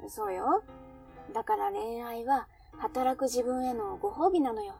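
High, mellow chatty working woman, acted by a woman. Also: expressive, measured pace, vocal fry, recorded from a distance, soft background music. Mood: chatty, breezy. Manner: breezy, curious, self-assured, feminine speech. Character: romance-loving, chatty working woman, a café regular. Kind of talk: Japanese radio drama acting.

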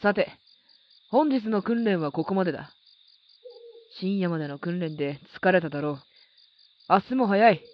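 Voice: mid-range voice, expressive, moderate pace, pauses often, lo-fi sound, a little hiss or hum, close to an everyday voice. A performer acting a stern yet reflective military instructor in a Japanese audio drama, commanding and formal.

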